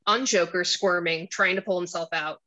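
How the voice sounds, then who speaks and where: Bright, forceful female narrator. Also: fairly low pitch, fairly even intonation, normal speed, low-quality recording. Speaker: narrator reading stage directions. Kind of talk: scripted screenplay narration.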